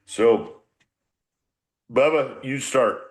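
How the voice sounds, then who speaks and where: A man's voice: medium pitch, extremely slow. An older man in casual conversation, leading a group chat in a blunt, salty, rambling manner. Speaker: former baseball manager leading a reunion podcast.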